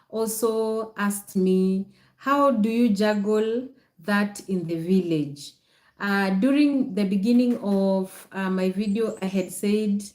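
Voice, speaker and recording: A woman with a Kenyan accent hosts a casual livestream at an unhurried, slow pace. She explains things patiently to viewers and often repeats her phrases. The sound is noticeably echoey. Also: medium pitch, a little mellow. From Nakuru, Kenya. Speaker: content creator hosting a livestream.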